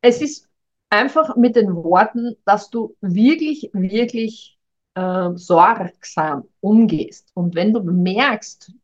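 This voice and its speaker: A woman's voice: medium pitch, slow pace. Middle-aged woman, thoughtful coaching talk. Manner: thoughtful, hesitant, addresses listener directly. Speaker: communication coach. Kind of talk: reflective advice monologue. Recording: dull lo-fi recording.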